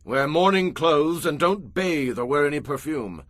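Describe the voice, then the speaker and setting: Audio Bible drama: emphatic court messenger, high animated male voice, very bright, dry sound. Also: a little slow, no room sound. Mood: scheming, instructing. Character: urgent, forceful royal courtier bringing news and counsel.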